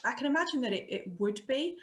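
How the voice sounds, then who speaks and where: A woman in her thirties who grew up speaking Serbian at home, answering interview questions in a steady, explanatory way, often starting with um. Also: sits high, fast talker. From England, Coventry. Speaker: guest, quality assurance manager. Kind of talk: casual interview.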